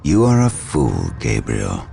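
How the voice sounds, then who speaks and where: An actor playing an arrogant fallen warrior turned villain in a video game, sneering and grandiose in a gravelly voice. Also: male voice, a bass voice, wildly swooping pitch, slow pace, dark tone, soft background music, full character voice. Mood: contemptuous.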